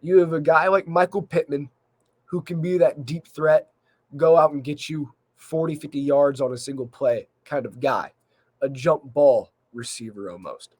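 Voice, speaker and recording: A man hosting a solo sports recap in a measured, conversational way with emphasis on key points, his voice slightly worn by a cold. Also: fairly high pitch, a little mellow. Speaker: sports podcast host.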